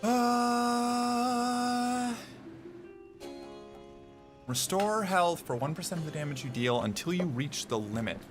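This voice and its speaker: A man's voice: sits high, takes it slowly, long pauses. Profane, reactive gamer man. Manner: reactive, profane, talking to himself. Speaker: streamer. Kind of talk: gameplay commentary. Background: game music.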